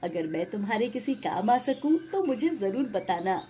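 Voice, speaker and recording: A woman playing a calm, generous king in an animated moral story, speaking with dignified reassurance. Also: mid-range voice, normal speed, slight background noise, natural voice. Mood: grateful, reassuring.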